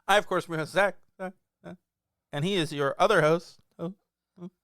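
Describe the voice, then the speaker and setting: A man's voice: high voice, brisk, a bit bright, no echo, studio quality. A man in his thirties co-hosting a podcast, teasing his partner in casual comedic chat and laughing often. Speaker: podcast co-host.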